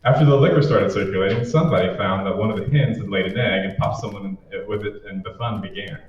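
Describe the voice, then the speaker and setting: Low, echoey man as sardonic radio-play boyfriend. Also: fast, close to an everyday voice. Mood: sardonic, grumbling. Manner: sardonic, grumbling. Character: wisecracking, reluctant boyfriend griping about his boss's parties. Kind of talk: old-time radio drama acting. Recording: muffled, very echoey, distant, faint noise.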